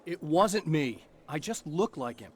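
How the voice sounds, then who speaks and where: An actor playing a brooding, tormented novelist in a video game, narrating gravely and with measured delivery like noir. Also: male voice, on the high side, sing-song, a little hiss or hum. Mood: earnest. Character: brooding tormented bestselling crime novelist hero, adult man. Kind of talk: scripted first-person noir narration.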